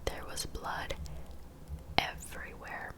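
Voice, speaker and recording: A woman telling true crime stories in a soft, breathy ASMR whisper, in a gentle, informal, conversational way. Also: takes it slowly.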